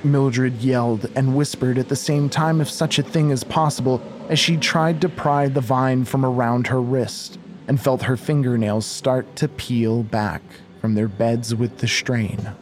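A man narrating a scripted horror audio drama in a measured, literary storytelling manner. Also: mid-range voice, fairly even intonation, darkish tone, slight background noise.

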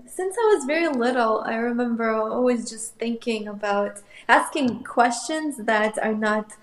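A woman's voice: fairly high pitch, animated, unhurried. A woman from Russia, an artist guest, musing thoughtfully and hesitantly in a casual interview. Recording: roomy echoey sound.

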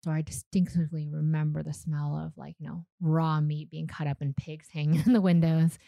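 Woman, 30s, from Canada, Vietnamese first language; deep, warm, slightly gravelly, dry close sound, casual storyteller. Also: a little fast, no room sound. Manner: relaxed, chatty, frequent fillers and small laughs. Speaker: guest.

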